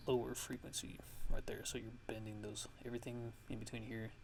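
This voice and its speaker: A man narrating a casual software tutorial as a music production host, speaking haltingly as he thinks aloud. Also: medium pitch, little pitch movement, gravelly, slight background noise.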